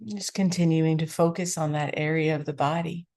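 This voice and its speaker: A woman teaching a guided meditation, giving gentle, unhurried instructions with long pauses between phrases. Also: deep voice, fairly even intonation.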